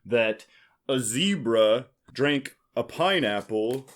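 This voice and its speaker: A man's voice: medium pitch, expressive, slow. A man hosting a casual board game podcast, explaining in a teasing, jokey way. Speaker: podcast host.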